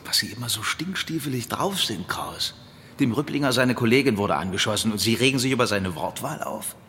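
An actor playing a combative, argumentative law-and-order police detective who needles people, in a radio drama. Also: male voice, lowish voice, animated, a little fast, a bit bright, a little hiss or hum.

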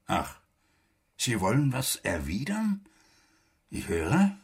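An actor playing a stern, threatening middle-aged army major in a German radio play, with a biting, insulting manner. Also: male voice, medium pitch, huge pitch swings, slow, husky, heavily acted. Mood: bitter, restrained.

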